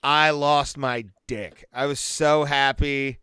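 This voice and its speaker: A man's voice: medium pitch, fairly even intonation, takes it slowly, sharp tone, smooth, dry recording, clean studio sound. A man co-hosting a podcast in casual chat, joking bluntly and crudely. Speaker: podcast co-host.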